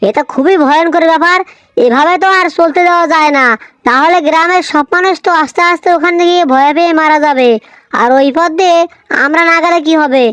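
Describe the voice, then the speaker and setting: A woman's voice: very high-pitched, quick pace, a bit bright, no room sound. A woman voicing an assertive, practical village housewife in a cartoon. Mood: concerned, decisive. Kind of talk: Bengali cartoon voice acting.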